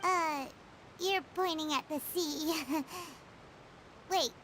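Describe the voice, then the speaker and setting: A woman's voice: high-pitched, extremely slow, fairly bright tone, slight background noise, heavily acted. A woman voicing a tiny, chatty, curious fairy companion who talks about herself in the third person, with game music underneath.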